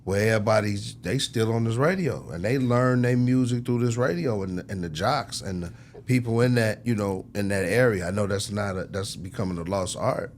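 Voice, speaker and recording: A man's voice: fairly low pitch, moderate pace, slight background noise. Emphatic man talking shop, slangy and persuasive. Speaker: record executive, interview guest. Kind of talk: casual, passionate shop talk.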